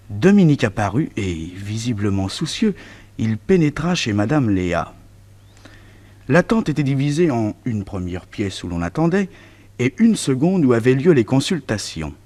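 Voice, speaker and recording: The narrator of a vintage French detective radio serial, telling his uncle's exploits. His medium-pitched male voice moves widely up and down as he delivers the scripted story. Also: darkish tone.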